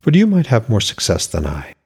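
A man voicing a composed, respectful goddess of magic in a fantasy story, speaking in a measured, deferential way. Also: fairly low pitch, huge pitch swings, darkish tone, husky, pitched above the performer's own voice, heavily acted.

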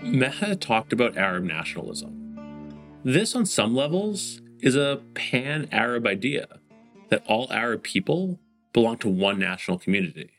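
Gravelly-voiced male host narrating. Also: mid-range voice, sing-song, normal speed, fairly bright tone, faint music behind. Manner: poses framing questions to the listener. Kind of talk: scripted narration.

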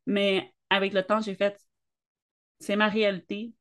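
A young woman co-hosts a relaxed conversation at a medium pitch. She speaks candidly and personally, and grows animated when she quotes other people. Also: measured pace. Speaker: podcast co-host. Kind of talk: casual conversation.

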